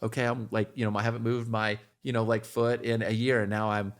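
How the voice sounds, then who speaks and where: A man's voice: lowish voice, fairly quick. A man with a Midwestern American accent, a podcast guest talking casually and with enthusiasm, full of "like" fillers. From Kansas. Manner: animated, heavy use of "like" fillers. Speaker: guest, health practitioner.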